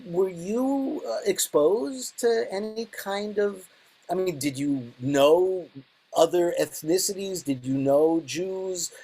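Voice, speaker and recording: An older man with a husky, slightly rough voice conducts an interview. He comes from the Bronx and puts his questions in a conversational way. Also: high voice, slow pace, mellow, poor audio. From Bronx, New York, United States.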